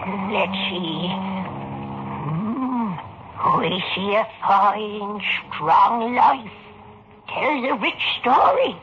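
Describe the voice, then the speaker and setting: A woman playing an old, mystical fortune teller with a halting, dramatic delivery in 1960s radio drama style. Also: medium pitch, lively intonation. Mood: mystical, intent. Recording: muffled old radio recording, background noise.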